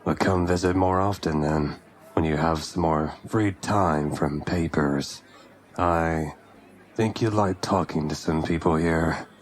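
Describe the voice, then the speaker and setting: An actor in an audio drama playing a gruff, dry-witted barkeep with a curt, grudging way of talking. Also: male voice, low-pitched, slow. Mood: dry, easygoing. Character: gruff, dry, grumbling male fantasy barkeep.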